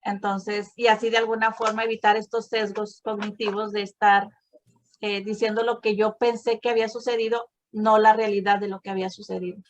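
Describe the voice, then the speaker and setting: A woman's voice: medium pitch, level tone, brisk, lo-fi sound. A woman hosting a conversation, explaining things in an encouraging, affirming way. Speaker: host. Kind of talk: conversational explaining, supportive interjections.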